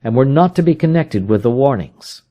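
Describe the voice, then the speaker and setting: A man's voice: medium pitch, lively intonation, fairly quick, dark tone, pitched above the performer's own voice, natural voice. A man voicing a helpless, frightened young client in an audiobook, with earnest and formal Victorian diction. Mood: earnest, troubled. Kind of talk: audiobook character voice in a detective story.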